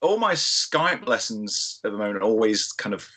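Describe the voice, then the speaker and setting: A man from England, a guest guitarist on a video-call podcast, easygoing and quick to laugh. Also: fairly low pitch, sing-song, sharp tone, a little hiss or hum. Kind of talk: casual video-call interview. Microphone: webcam on a video call.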